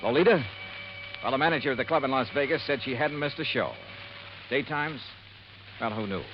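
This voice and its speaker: An actor playing a sharp, steady male investigator and narrator in a 1950s radio detective drama, with clipped, probing speech. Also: medium pitch, fairly quick, lightly acted. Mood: brisk, matter-of-fact. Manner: clipped, hard-boiled, probing. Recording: muffled vintage radio recording, music underneath.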